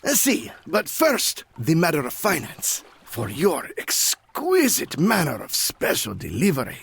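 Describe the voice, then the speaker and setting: Casting: courtly Cuban flirt for audio comedy, husky voice, thick florid phrasing, very animated intonation. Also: male voice, on the high side, unhurried, heavily acted. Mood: sly. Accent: Spanish-accented English, Cuban. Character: flirtatious Cuban man, flowery boat owner in 1950s Havana. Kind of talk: comedy audio drama acting.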